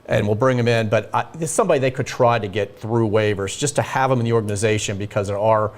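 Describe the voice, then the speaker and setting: A man's voice: fairly low pitch, quick pace. A man working as a team insider, chatting casually and thinking aloud with plenty of hedges. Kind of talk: casual analytical chat.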